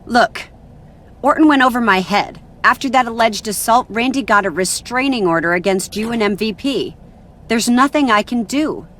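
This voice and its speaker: A woman's voice: fairly high pitch, normal speed, a bit bright, close to an everyday voice. A woman playing an officious, authoritative general manager in a wrestling video game, controlled and firm. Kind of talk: scripted wrestling game cutscene acting.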